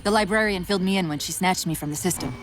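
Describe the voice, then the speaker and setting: A woman playing a sharp-witted, anxious, loyal AI companion in a video game, quick and earnest. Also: lowish voice, natural voice, rough recording. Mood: concerned, brisk. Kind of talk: video game cutscene acting. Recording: loud game music and effects.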